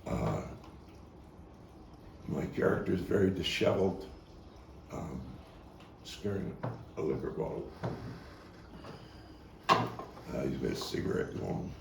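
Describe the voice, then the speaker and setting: A man talking through the stage directions of a play in a halting manner, as its playwright and actor. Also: a bass voice, sing-song, vocal fry. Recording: roomy, noisy, distant microphone.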